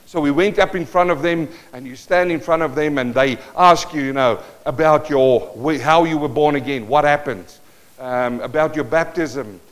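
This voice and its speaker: Afrikaans-accented pastor telling a story. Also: male voice, fairly high pitch, normal speed. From South Africa. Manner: storytelling, animated bursts, moves around the stage. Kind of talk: sermon. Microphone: worn or handheld mic that cuts in and out while he moves.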